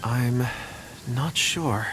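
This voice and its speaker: A man's voice: medium pitch, very slow, heavy background noise. A man voicing an earnest, shy young man in a video game, with a polite, hesitant manner of speaking.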